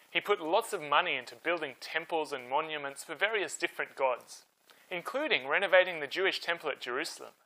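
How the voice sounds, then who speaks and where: A man's voice: a little high, bright, forceful tone, low-quality recording. A man hosting a storytime, telling history from a script in an emphatic, conversational way. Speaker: storyteller host.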